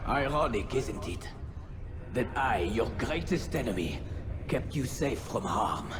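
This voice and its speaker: An actor playing a dying villainous crusader lord in a video game, deliberate, theatrical and sardonic. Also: male voice, medium pitch, very animated, music in the background. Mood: bitter, taunting. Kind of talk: dramatic video game acting.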